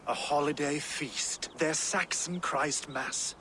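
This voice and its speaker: A vengeful Viking warlord. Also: male voice, a little high, steady tone, slow pace, background noise. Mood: commanding. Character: vengeful Danish warlord, proud and fierce. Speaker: Viking chieftain character. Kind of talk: video game voice acting. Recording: game audio with music behind.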